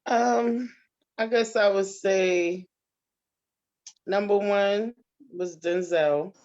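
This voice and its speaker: Slightly dull, lo-fi video call sound carries a woman's voice. She is an interview guest talking casually, pausing to hunt for the right words. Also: medium pitch, steady tone, a little mellow. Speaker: stage actress, interview guest. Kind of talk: casual chat. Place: remote video call on a battery-powered device.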